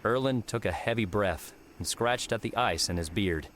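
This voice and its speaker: A man's voice: on the low side, moderate pace, fairly bright tone, a little hiss or hum. A man reading scripted narration of an adventure novel as an audiobook narrator.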